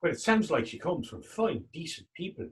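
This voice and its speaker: Stage drama: man as poetic Irish confidant, curious and kindly, raspy voice, very lively intonation, old-fashioned phrasing. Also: a little high, normal speed, exaggerated voice. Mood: supportive. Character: sympathetic, curious, poetic Irish companion named Tommy. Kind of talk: stage readers theatre acting in dialect.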